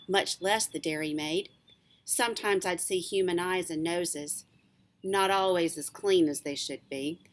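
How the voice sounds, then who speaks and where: A woman, a teacher reading a children's book aloud in an expressive, animated storytelling way. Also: lowish voice.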